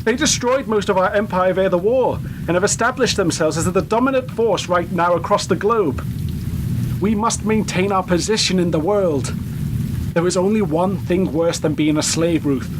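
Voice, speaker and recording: A man playing a grave, calculating government man in a radio play, speaking formally and deliberately. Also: high voice, natural voice. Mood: urgent, insistent. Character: grave, calculating male government man sacrificing young woman. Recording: heavy rain-like noise behind voice.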